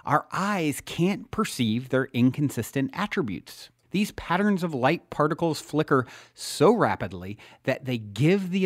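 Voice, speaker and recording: A man with a mid-pitched voice narrates a nonfiction audiobook in a measured, emphatic way. His intonation is lively and wide-ranging, with deliberate dramatic pauses. The recording is dry, with very little room sound. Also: no room sound. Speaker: podcast host and author reading his own book.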